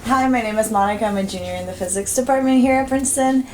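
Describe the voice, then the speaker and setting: A woman's voice: on the high side, fast, noisy background. Young woman, twenties, from Romania, native Romanian, chatty, hesitant, interview guest. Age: 20s. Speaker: student guest. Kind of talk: casual interview answers.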